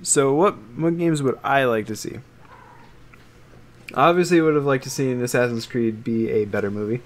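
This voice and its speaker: A man's voice: mid-range voice, moderate pace. A man in casual, opinionated chat as a podcast co-host, explaining his points in qualified fragments. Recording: noticeable background noise.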